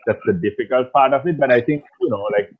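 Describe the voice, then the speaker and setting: A man in his thirties from Sri Lanka, a singer talking casually in an interview over a video call, with a rough voice and a relaxed, rambling way of speaking full of fillers. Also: medium pitch, fast talker. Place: small indoor room at night. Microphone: earphones on a video call. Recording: tinny phone-like call audio.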